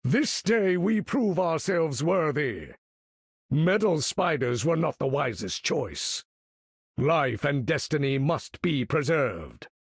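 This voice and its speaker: Game role: imperious older mutant leader, slow, gravelly, grandiose and formal. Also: male voice, a little high, very animated, dry recording, studio quality, exaggerated voice. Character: proud, imperious older male mutant master of magnetism. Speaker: video game voice actor. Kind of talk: theatrical game character voice lines.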